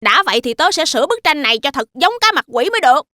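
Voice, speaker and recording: An eager, chubby little cartoon kid with a high, bright voice. The kid is chirpy and excitable and rattles along quickly. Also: female voice, a little hiss or hum, exaggerated voice. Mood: excited. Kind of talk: children's cartoon dubbing.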